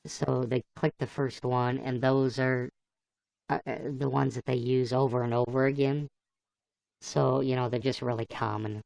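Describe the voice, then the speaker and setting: A man with a gravelly, voice-changed sound, chatting casually and easygoing as he hosts a livestream. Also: medium pitch, little pitch movement, moderate pace, a little mellow, dry recording, low-quality recording. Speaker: livestream host playing a computer-generated fox.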